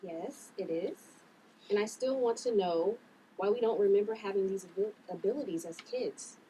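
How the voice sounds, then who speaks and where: A woman's voice: mid-range voice, normal speed, mellow, natural voice, low-quality recording. A woman playing a blunt, sensible sister with superpowers in a table read. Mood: blunt, firm. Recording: room recording with background noise.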